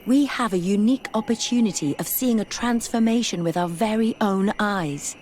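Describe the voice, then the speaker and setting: A woman's voice: medium pitch, normal speed, a little mellow, slight background noise, lightly acted. Thoughtful, skeptical woman scholar played by an actress. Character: curious, skeptical, determined female scholar. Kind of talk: video game dialogue acting.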